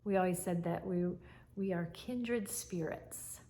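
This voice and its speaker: A woman in her fifties, an English teacher, talking gently and fondly to camera in a fireside chat at home. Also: fairly low pitch. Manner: warm, soft-spoken and unhurried, with fond reflective pauses. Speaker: English teacher, channel host. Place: living room by a fireplace, indoors. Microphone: camera at chest height, seated.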